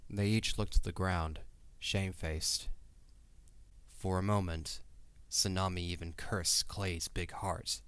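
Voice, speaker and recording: A man's voice: low-pitched, fairly even intonation, takes it slowly, fairly bright tone, clear, resonant tone, no room sound. A man reading scripted narration for an audio drama as its narrator.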